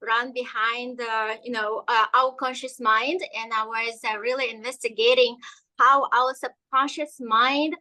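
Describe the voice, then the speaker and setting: Slow-spoken Russian-native woman. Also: a little high, steady tone, sharp tone, low-quality recording. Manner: hesitant, many fillers. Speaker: guest energy healer. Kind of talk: casual interview storytelling.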